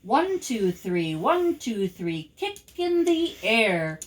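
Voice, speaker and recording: A woman's voice: fairly high pitch, very animated, slow pace. A woman voicing an exasperated dinosaur dance director in a storybook poem, counting and correcting the chorus line in rhythm. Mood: bossy, correcting.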